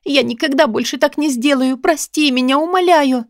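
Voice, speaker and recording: A woman's voice: high-pitched, normal speed, no echo, studio quality, pitched above the performer's own voice, lightly acted. A woman voicing a terrified young woman in an audiobook drama, crying and making frantic, desperate pleas. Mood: tearful begging.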